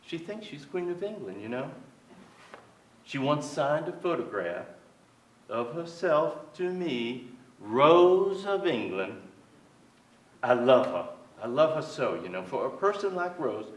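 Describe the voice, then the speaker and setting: Man acting an expressive aging playwright on an echoey stage. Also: on the high side, takes it slowly. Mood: tender, solemn. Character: aging Southern playwright, wistful, wry, fond of his sister. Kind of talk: staged reading of a reminiscing monologue. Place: theater stage before an audience. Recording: echoey theater stage, distant mic, faint noise.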